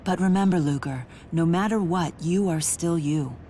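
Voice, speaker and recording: Woman playing a low-voiced, composed young heroine. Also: warm tone, slight background noise, natural voice. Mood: earnest, consoling. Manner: measured, earnest, formal. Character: composed, resolute, caring young woman warrior. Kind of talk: dubbed video game cutscene acting.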